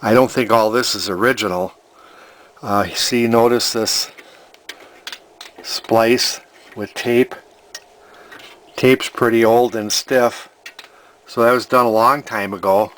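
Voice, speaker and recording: A man's voice: medium pitch, steady tone, takes it slowly, long pauses, fairly bright tone, slight background noise. A man narrating an electronics repair casually, thinking aloud in a hesitant, rambling way. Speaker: vintage electronics repair hobbyist.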